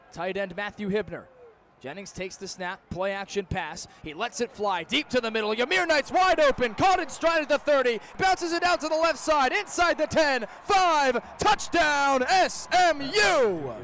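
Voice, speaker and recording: A young man with a very high voice does live football play-by-play for radio. His short, clipped phrases set the scene and swell into stretched-out, excited calls. Faint stadium noise sits behind him. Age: young adult. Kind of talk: live radio sports commentary.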